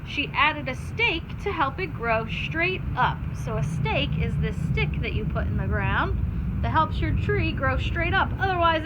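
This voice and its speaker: A woman's voice: high voice, dull audio. A woman teacher reading aloud to children, stopping to explain simply and put questions to her listeners. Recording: clear background noise.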